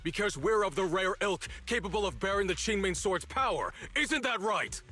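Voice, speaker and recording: A man's voice: high voice, lively intonation, normal speed, sharp tone, exaggerated voice. An actor playing a bitter, resolute young man, an orphaned former disciple, in a dramatic video game with sardonic, accusing delivery. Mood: bitter accusation. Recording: studio voice over background music. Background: music.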